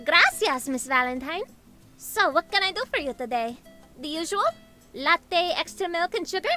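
Squeaky bright barista girl, perky, bossy, swoopy voice. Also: expressive, moderate pace, faint music behind, full character voice. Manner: sweet and perky to customers, scolding to her brother. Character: bubbly young woman barista, bossy with her brother.

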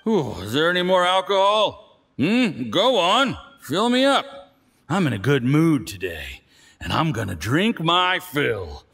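A man's voice: fairly high pitch, sing-song, unhurried, gravelly, fairly bright tone, husky, exaggerated voice. A man playing a condescending, boastful official in a video game, with a patronizing, theatrical drawl. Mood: tipsy, merry. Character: smug condescending Fatui official, boastful drinker.

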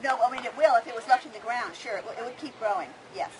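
A woman's voice: fairly high pitch, quick pace, a faint crowd. A middle-aged woman leading an informal outdoor demonstration for a group as a plant educator. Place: open grassy park field, outdoors. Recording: clear outdoor ambient noise. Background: outdoor ambience.

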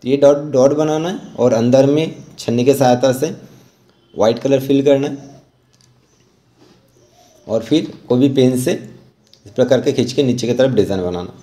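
An Indian man giving an informal step-by-step tutorial voice-over as an instructor, halting and repeating himself as he explains. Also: medium pitch, warm tone. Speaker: rangoli art instructor.

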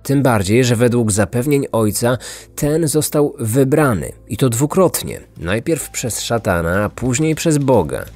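A man narrating a scripted true-crime podcast in measured, suspenseful phrases. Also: medium pitch, animated, a little mellow, dry recording. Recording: dry studio voice over music. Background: background music.

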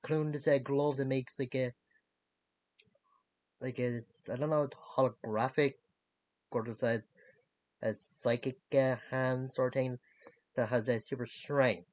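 Young male vlogger for a casual recap: unhurried, halting, disjointed phrasing, dry sound. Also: medium pitch, level tone, darkish tone, no room sound. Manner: halting, disjointed delivery, searching for words. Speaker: reviewer of animated series. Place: small cluttered bedroom, indoors.

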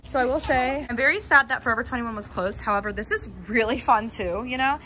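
A young woman chatting bubbly and fast to her handheld camera while shopping in a mall and candy store. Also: fairly high pitch, pushed and bright. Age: young adult. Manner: bubbly, chatty, quick gasps and laughs. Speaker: vlogger. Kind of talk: casual shopping vlog. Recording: noisy mall ambience.

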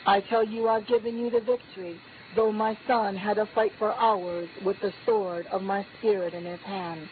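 A woman's voice: mid-range voice, fairly even intonation, normal speed, few pauses. A woman speaks a prophecy in the first person as God, with a solemn, chanting cadence that climbs on each refrain. The sound comes through a narrow, phone-like line. A faint hiss sits underneath. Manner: solemn, repetitive declaring cadence, lifting on key phrases. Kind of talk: prophetic utterance spoken as God.

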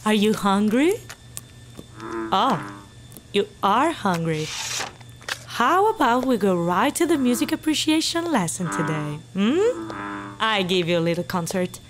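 A scientist in an audio drama, talking slowly and sing-song to her animals. Her pitch swoops a great deal, and loud music plays behind her. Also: sing-song. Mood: coaxing. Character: female scientist, coaxing then alarmed.

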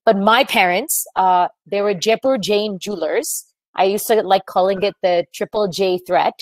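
A woman's voice: mid-range voice, moderate pace, no room sound. American woman telling her story. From United States. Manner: earnest, reflective storytelling with frequent fillers. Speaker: webinar speaker, entrepreneur. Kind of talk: presenting a webinar talk.

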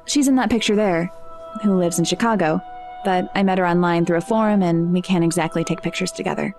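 A woman's voice: fairly low pitch, fairly quick, darkish tone, faint music behind, close to an everyday voice. A woman playing an anxious, lonely seventeen-year-old girl in a naturalistic audio drama, speaking hesitantly and self-deprecatingly. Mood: wistful, resigned.

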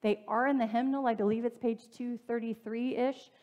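A woman pastor in her thirties giving a relaxed, conversational sermon in a church sanctuary, with everyday fillers and friendly asides to the congregation. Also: on the high side, moderate pace, dull audio. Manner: conversational, plain-spoken preaching with fillers and asides.

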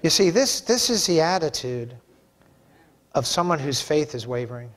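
A male pastor in his fifties delivers a sermon from a church pulpit. His voice sits high for a man. He talks in a folksy, conversational manner and drives his points home with emphasis. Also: lively intonation, moderate pace, a bit bright. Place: church sanctuary, preaching from a pulpit.

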